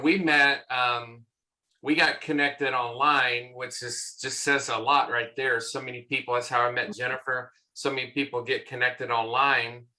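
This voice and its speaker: A man's voice: mid-range voice, pushed and bright, rough recording. A middle-aged man with a Southern US accent, a church consultant talking as a podcast guest in a friendly, rambling way. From American South. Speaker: church consultant and conference leader.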